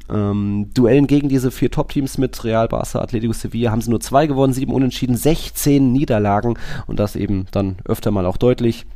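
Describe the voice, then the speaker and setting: A man's voice: mid-range voice, brisk, dark tone, dry recording. A man co-hosting a football podcast, casually going through results and statistics. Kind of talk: casual sports commentary with statistics.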